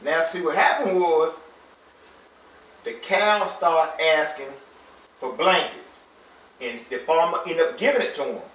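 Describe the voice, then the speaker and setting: A high, bright-voiced man reads a bedtime story for a child. He is expressive and stops to quiz and explain words simply. The room echoes and the microphone sits at a distance. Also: a little slow, long pauses. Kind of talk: animated read-aloud with explanations for a child.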